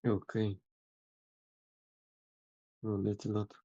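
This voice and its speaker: A man hosting a casual podcast chat over a video call as a language teacher, unhurried and laughing often. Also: lowish voice, monotone, warm tone, no room sound, muffled recording. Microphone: video call microphone.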